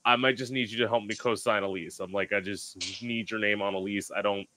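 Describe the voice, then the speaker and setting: A man's voice: on the low side, steady tone, fairly quick, sharp tone. A man hosting a casual podcast over a video call from a room at home, rambling with many fillers and laughs. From South Florida. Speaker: podcast host.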